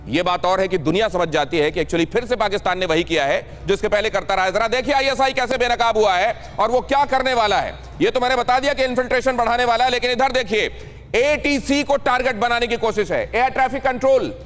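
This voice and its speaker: A man with an Indian accent presenting television news dramatically and emphatically, repeating phrases for effect. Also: high voice. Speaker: TV news anchor. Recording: loud dramatic music bed under voice. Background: news music.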